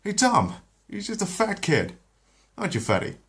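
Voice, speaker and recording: A man's voice: high-pitched, huge pitch swings, normal speed, fairly bright tone, slightly rough, voice pushed up, heavily acted. A man doing a smug taunting security guard. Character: mocking male security guard, adult.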